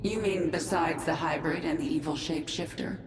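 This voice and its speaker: A woman's voice: sits low, normal speed, noisy background. A woman playing a fierce, vengeful commander of an alien swarm in a video game, speaking with menacing authority. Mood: grim resolve. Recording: echoey, distant, under loud game music.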